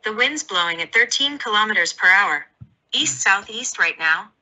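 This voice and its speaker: A woman's voice: medium pitch, lively intonation, normal speed, bright, forceful tone, rough recording. A synthetic woman's voice reading out as a phone assistant, clipped and impersonal. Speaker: phone voice assistant and screen reader. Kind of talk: synthetic readout.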